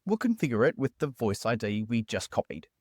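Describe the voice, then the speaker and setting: Man, tutorial host, upbeat conversational voice-over, animated intonation, dry close sound. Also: medium pitch, brisk, dry recording.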